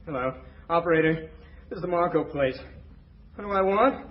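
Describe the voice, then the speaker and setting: A man's voice: a little high, moderate pace, close to an everyday voice. A man playing a timid, bumbling young policeman in a 1940s radio comedy. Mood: flustered. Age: young adult. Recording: dull, muffled old radio recording.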